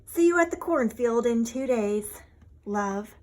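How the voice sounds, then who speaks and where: A woman voicing a tired, sore, kindhearted young girl, reading her letter aloud in a measured, earnest way. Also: on the high side, animated, a little mellow. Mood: weary, earnest. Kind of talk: storybook letter read-aloud.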